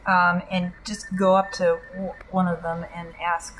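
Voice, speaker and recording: A woman's voice: lowish voice, level tone, unhurried, fairly bright tone, slight background noise, low-quality recording. A woman playing a tabletop game, speaking casually and hesitantly as she picks an action.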